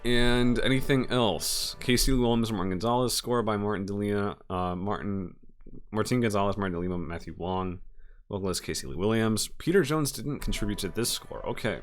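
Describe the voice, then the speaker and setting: Male reaction host, slightly low voice, halting and emotive, off-the-cuff commentary. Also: slight background noise. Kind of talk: unscripted casual reaction commentary.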